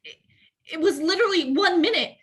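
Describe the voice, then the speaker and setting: A woman playing a bitter, jealous, sharp-tongued wife who is curt and scornful, in a screenplay table read. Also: extremely high pitch, brisk, rough recording. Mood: angry, fed up.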